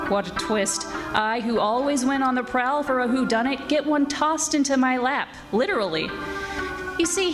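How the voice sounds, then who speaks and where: A high-voiced, glamorous woman detective played by an actress tosses out flirtatious deadpan wisecracks in 1940s radio style. Music is clearly heard in the live recording. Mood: sardonic, unruffled. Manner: wry, breezy, flirtatious deadpan. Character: wisecracking glamorous female private eye, former model. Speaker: radio theatre actor.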